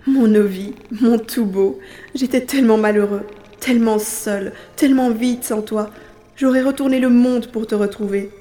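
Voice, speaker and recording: A woman's voice: fairly high pitch, steady tone, normal speed, mellow, echoey room, soft background music, lightly acted. A woman playing an earnest, devoted young hero with an emotional, affectionate manner in an audio drama. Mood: tearful tenderness.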